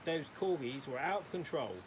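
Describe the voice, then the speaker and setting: An earnest young boy, read by a man in a children's storybook. He is proud, a little slow and defensive. Clear noise is heard behind him. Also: a little high, expressive, lightly acted. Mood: protesting.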